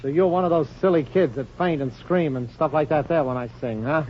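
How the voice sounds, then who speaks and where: A man playing a conceited, slow-witted young crooner in a 1940s radio comedy, with slangy, self-satisfied delivery. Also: fairly high pitch, close to an everyday voice. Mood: smug, dim. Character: vain, dim, conceited young male crooner, easily hurt. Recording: muffled, noisy 1940s radio recording.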